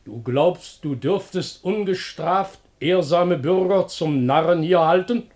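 A man's voice: high-pitched, slow pace, darkish tone. A man playing an indignant, gullible poor peasant, speaking in stately declamation in a German classical comedy radio play.

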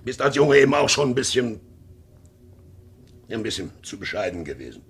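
A man's voice: medium pitch, big pitch swings, normal speed, a bit bright, slightly rough. An actor playing a proud, blustering, middle-aged sea captain and domineering father in a 1950s radio drama. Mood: scornful, blustering. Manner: blustering, mocking, commanding.

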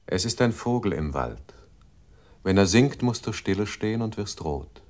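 A man voicing a computer unit in a radio play, reciting verse in a grave, measured manner. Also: fairly low pitch, expressive, darkish tone, natural voice.

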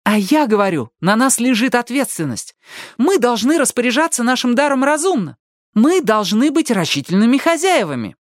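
A woman voicing a sharp, stubborn teenage girl in an audiobook, emphatic and quick to argue or tease. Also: mid-range voice, lively intonation, no echo, studio quality, voice pushed up, lightly acted. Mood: indignant. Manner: emphatic, argumentative. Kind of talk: audiobook character voice.

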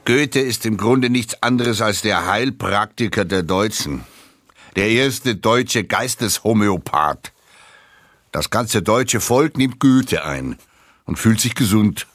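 A man giving a deliberate, scornful dramatic reading of literary quotations for radio. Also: on the low side, animated, a bit bright, no room sound, studio quality.

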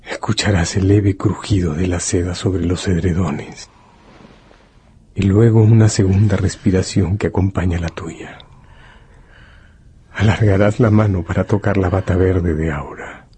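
An actor narrating a literary radio drama as a solemn, brooding, deliberate storyteller. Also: male voice, deep voice, steady tone, warm tone, breathy edge, full character voice. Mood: solemn, ominous.

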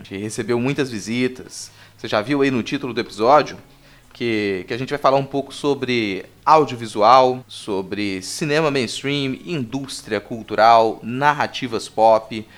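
Low-voiced Brazilian man hosting a podcast. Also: a bit bright. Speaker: podcast host. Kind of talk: conversational podcast commentary.

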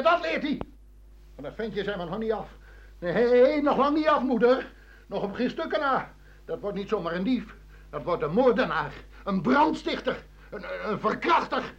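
A man's voice: high voice, a bit bright, heavily acted. An actor playing a foul-tempered, tyrannical father who rants and curses accusingly in a radio play. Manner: ranting, cursing, accusing, repeating himself. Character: foul-mouthed, raging, tyrannical French father. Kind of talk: radio drama acting. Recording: dull 1980 radio play recording.

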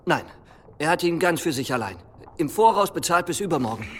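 An actor playing an obliging, submissive, money-minded medieval innkeeper in a radio drama. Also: male voice, on the high side, animated, a little fast, fairly bright tone, a little hiss or hum. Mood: obliging, meek.

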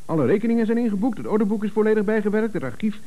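A fretful, put-upon office worker in a radio comedy. His voice sits very high and swings up and down as he grumbles with nervous sarcasm. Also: lively intonation, very fast, mellow, rough recording. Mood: fretful, dry.

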